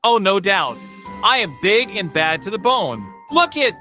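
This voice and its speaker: A man's voice: high voice, sing-song, measured pace, sharp tone, soft background music, heavily acted. A man voicing a boastful big bad wolf in a children's cartoon story, bragging in a theatrical way.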